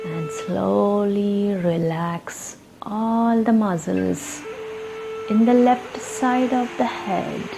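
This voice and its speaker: Female meditation guide: extremely unhurried, soothing delivery in short spaced phrases, faint music underneath. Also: mid-range voice, steady tone, vocal fry, poor audio. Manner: soothing, gentle instruction with long pauses. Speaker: yoga meditation guide and channel host. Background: soft instrumental music.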